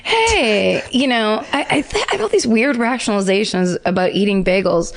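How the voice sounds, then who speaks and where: Woman cohost, super expressive voice, swears, laughs loud, casual banter. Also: medium pitch, normal speed, creaky. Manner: animated, swears, bursts of laughter. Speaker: podcast co-host. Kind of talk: casual chat.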